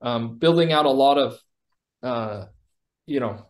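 A man's voice: mid-range voice, measured pace. A man in an executive role giving an informal, explanatory product demo over a video call. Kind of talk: casual software demo and explanation.

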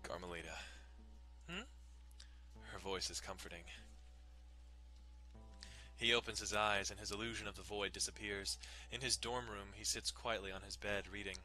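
Low, very bright male narrator. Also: fairly even intonation, moderate pace, faint music behind, poor audio. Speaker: dramatic reader. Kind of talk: dramatic narration of a visual novel.

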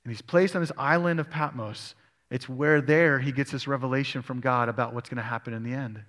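A man's voice: medium pitch, steady tone, fairly quick, fairly bright tone. A male pastor preaching a sermon, reading scripture aloud and asking his congregation questions. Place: church sanctuary. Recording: long church hall reverb.

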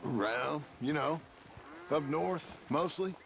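A man playing a gruff, guarded ranch hand in a video game, with an American accent, gravelly and terse. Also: on the high side, slow, loud music behind the voice. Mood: guarded, modest. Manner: terse, evasive, plain-spoken. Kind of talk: naturalistic video game cutscene acting.

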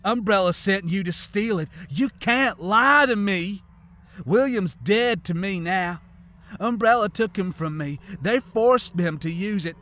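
A man voicing a grieving, half-mad woman scientist who snarls coldly and bursts into shouts. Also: high voice, unhurried, voice pushed up. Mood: bitter. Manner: cold, snarling, breaking into shouts. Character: grief-crazed, bitter woman scientist.

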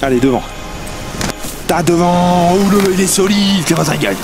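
A young man commenting on friends' ski competition runs outdoors on a mountain, animated and teasing, recorded on an action camera. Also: high-pitched, normal speed. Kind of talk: excited live commentary. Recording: music playing underneath.

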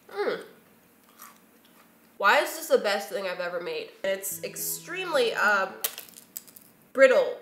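A woman's voice: mid-range voice, a bit bright. A woman in her twenties hosting a casual, chatty baking vlog, with a self-deprecating, playfully exasperated way of talking. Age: 20s. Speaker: host of a baking video series. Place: home kitchen, indoors. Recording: roomy kitchen echo. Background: background music.

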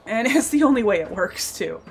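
Slightly hoarse woman with a gravelly edge. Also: medium pitch, expressive, a little fast, a little hiss or hum. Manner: friendly step-by-step explaining, occasional throat clearing. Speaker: game channel host. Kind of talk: casual tutorial narration over gameplay.

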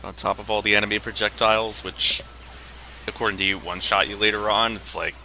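Man, slightly deep, very sharp bright tone, casual gaming co-commentary, conversational. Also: flat delivery, a little fast, slight background noise, poor audio. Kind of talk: casual gameplay commentary chat.

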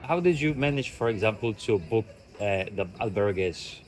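A man's voice: fairly low pitch, animated, normal speed, poor audio. A man interviewing pilgrims, asking short questions in an encouraging way.

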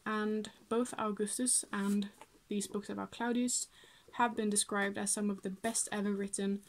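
A woman's voice: medium pitch, flat delivery, normal speed, slight background noise. A young woman from Sweden with a Swedish accent, chatting casually to camera about books in a small room at home, with frequent fillers and small laughs. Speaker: book vlogger.

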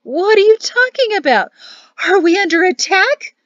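A feisty old lady, put on by a woman narrator speaks in a raised, high pitch, excitable and demanding. It is audiobook dialogue with slightly dull sound. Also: moderate pace, no room sound, close to an everyday voice. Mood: panicked, wailing. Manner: demanding, shrill when alarmed.

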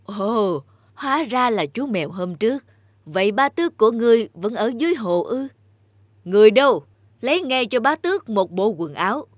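A woman's voice: a little high, sing-song, moderate pace, no echo, studio quality. A woman voicing a genial, lordly male king in a fairy-tale cartoon, with a regal, commanding manner. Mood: gracious. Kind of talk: animated fairy-tale dubbing.

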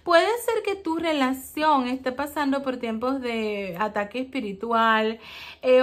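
A woman giving a tarot reading for a zodiac sign, talking in a halting way with many fillers and checking in with the listener. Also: sits high. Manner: hesitant, many fillers and ¿ok? tags. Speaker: tarot reader.

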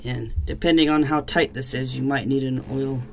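Male DIY mechanic, casual and unhurried how-to delivery, plain-spoken, outdoor camera audio with faint background noise. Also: medium pitch, steady tone, vocal fry. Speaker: DIY mechanic demonstrating a repair. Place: outdoors in a driveway beside a jet ski.

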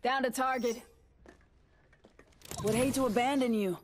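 A woman's voice: on the high side, fairly even intonation, measured pace, lightly acted. A woman playing a tough soldier in a video game, speaking short lines in a clipped, sarcastic manner. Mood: curt, cold. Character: tough, curt woman soldier with military bearing. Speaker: video game character voice actor. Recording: faint game noise and clicks behind. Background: faint gameplay noise.

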